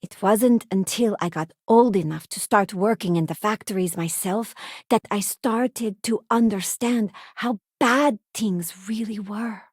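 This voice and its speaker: An actress voicing an earnest, idealistic former deputy turned starship crew member in a video game, sincere and plain-spoken. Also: female voice, mid-range voice, a little slow, a little mellow, breathy edge, no echo, studio quality. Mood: thoughtful, critical. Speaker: video game companion character. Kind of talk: acted video game voice lines.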